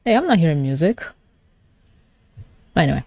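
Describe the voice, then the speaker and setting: A woman streamer with a very lively, swooping delivery reacts live in a casual way. She sounds flustered and gushing, giggly and free with swearing, and the recording is dry and close. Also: low voice, moderate pace, no echo. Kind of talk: live reaction with casual commentary and reading aloud.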